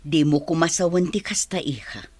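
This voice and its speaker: An actress playing a gentle, apologetic middle-aged aunt in a radio drama, soothing and motherly. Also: low voice, normal speed, dry recording.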